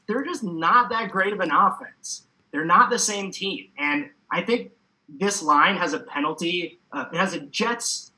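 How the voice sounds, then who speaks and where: Male podcast guest, sports betting talk. Very sharp, bright tone, hammy and casual. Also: high voice, low-quality recording. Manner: playful, hammy, talks in informal slang. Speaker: recurring podcast guest giving betting picks.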